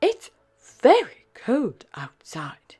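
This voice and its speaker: A fond mother dog calling out high, gentle warnings to her pup, with a soft breathy sound, as a woman puts her on. She sounds like a caring storybook mum. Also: wildly swooping pitch, very slow, faint music behind, full character voice.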